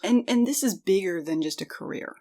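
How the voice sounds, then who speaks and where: A woman's voice: medium pitch, lively intonation, brisk, warm tone. A woman co-hosting a conversational podcast, speaking in an earnest, reflective way. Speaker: podcast co-host.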